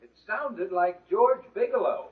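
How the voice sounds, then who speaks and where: Middle-aged father, very husky, calling from another room, 1940s radio comedy, muffled old broadcast. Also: high-pitched, unhurried, exaggerated voice. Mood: matter-of-fact. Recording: muffled, distant vintage radio recording.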